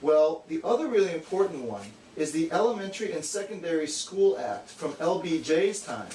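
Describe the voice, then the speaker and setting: A man's voice: on the high side, sing-song, normal speed, a little mellow. A man giving an earnest, matter-of-fact scripted educational explanation as the straight man of a puppet show. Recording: echoey, distant, faint noise.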